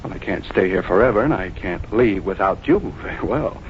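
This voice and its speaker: Low-voiced, courteous, menacing killer. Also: male voice, a little fast. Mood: calm menace. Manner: polite, genteel, calmly threatening. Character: soft-spoken, courteous, menacing fugitive male killer. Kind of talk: 1940s radio acting. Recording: muffled, noisy 1940s radio recording.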